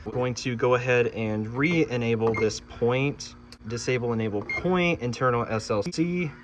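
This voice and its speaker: A man's voice: medium pitch, unhurried, background noise. A man giving an informal hands-on walkthrough, talking casually as he works. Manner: informal, talking while working with his hands. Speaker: host of a hobby fire alarm channel. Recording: music playing behind the voice.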